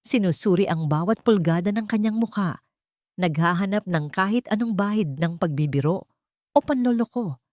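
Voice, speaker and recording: A woman's voice: mid-range voice, animated, moderate pace, a little mellow, no echo. A woman with a Filipino accent giving dramatic scripted narration of a romance story.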